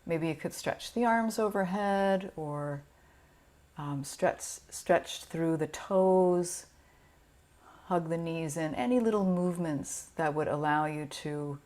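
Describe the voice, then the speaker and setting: A woman teaching yoga nidra, guiding a relaxation meditation in a gentle, soothing, unhurried way with pauses. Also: low-pitched.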